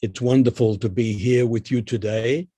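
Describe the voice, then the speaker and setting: A male professor with an Australian accent presents to an online academic gathering. His voice is very mellow and rounded, sitting at an ordinary male pitch. He speaks in a formal, deliberate way. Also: steady tone, husky, dry recording. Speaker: visiting professor, guest lecturer. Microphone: video call.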